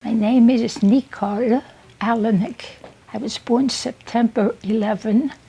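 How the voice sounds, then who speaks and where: A woman, gently recounting her childhood. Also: on the high side, fairly even intonation, unhurried, slightly rough. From France. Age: 80s. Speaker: interviewee.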